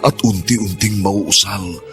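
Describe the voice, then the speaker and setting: A man's voice: low-pitched, slow pace. A man announcing a radio drama in a theatrical, declamatory scripted style. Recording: music under the voice.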